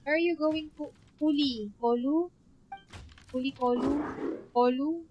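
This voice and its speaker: A woman's voice: high voice, measured pace, muffled recording, noisy background. A woman with a Filipino accent and Tagalog as her first language, giving casual, reactive live gameplay commentary. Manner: reactive, switches between Tagalog and English. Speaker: game streamer.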